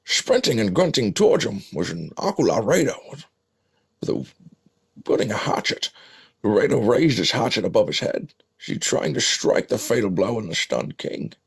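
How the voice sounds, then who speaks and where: A man doing a parody of an old Scottish film star, narrating a mock-epic fantasy battle for a video game in a husky, gravelly voice with halting, muttered asides. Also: lowish voice, big pitch swings, heavily acted. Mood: dramatic, wry. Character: parody elderly Scottish screen legend narrating fantasy battle, wryly amused.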